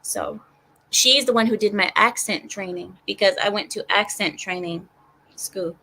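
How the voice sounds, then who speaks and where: A woman's voice: medium pitch, normal speed, bright, forceful tone, slight background noise, low-quality recording. A woman who is a native Arabic speaker, hosting a casual group chat and repeating words as she explains herself. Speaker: host of a group discussion.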